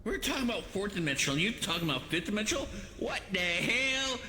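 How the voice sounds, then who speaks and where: A man in casual online roleplay banter, profane, excitable and joking, talking through a VR headset mic. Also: high voice, fast. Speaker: player in an online roleplay group. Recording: roomy echo, faint background noise.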